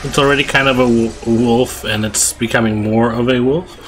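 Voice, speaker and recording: A man's voice: medium pitch, steady tone, moderate pace, a bit bright, poor audio. A man in his thirties talking casually as a gaming video host, at a desk microphone in a home room. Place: small home room, indoors. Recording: clear background noise.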